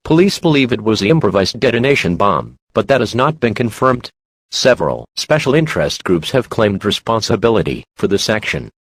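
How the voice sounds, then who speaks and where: A man's voice: mid-range voice, husky, dry recording. A man voicing an impersonal, official emergency alert announcer reading a formal scripted bulletin.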